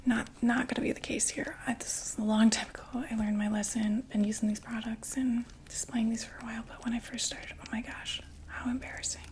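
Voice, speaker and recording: A woman in an ASMR roleplay voicing a chatty undead female vampire makeup saleswoman, whispering softly and reassuringly. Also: fairly high pitch, level tone, brisk, few pauses, natural voice. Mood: soothing. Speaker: ASMR performer.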